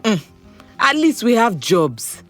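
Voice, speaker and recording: An actress with a Nigerian accent playing a frank, teasing young confidante who prods in naturalistic audio drama style. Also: female voice, fairly high pitch, slow, soft background music. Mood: blunt, prodding. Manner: expressive, frank, prodding. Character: blunt, teasing young woman, close confidante.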